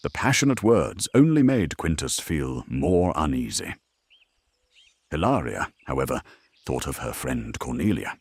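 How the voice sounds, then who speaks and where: A man's voice: rumbling low, big pitch swings, unhurried, slightly rough, no room sound. A man narrating a scripted drama in a storytelling style.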